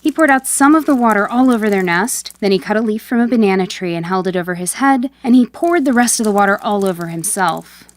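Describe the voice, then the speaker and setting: A woman with a medium-pitched voice narrates a storybook tale as host. Her scripted delivery is clear and measured. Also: animated.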